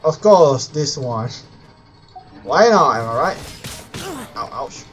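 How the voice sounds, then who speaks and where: A man's voice: fairly high pitch, animated, takes it slowly, a bit bright, lo-fi sound. Casual male game streamer over music. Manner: short offhand remarks. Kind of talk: casual live gameplay commentary.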